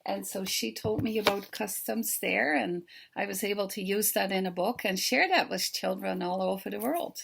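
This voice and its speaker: An older woman with a Dutch accent speaks in an earnest, unhurried way, as an author addressing a festival by video. Her voice sits at an ordinary pitch but carries a gravelly edge. She lingers on her words and tells her stories with care. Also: rough recording. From Netherlands. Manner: warm, earnest storytelling, slow and deliberate. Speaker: children's book author. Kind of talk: recorded video greeting to a festival.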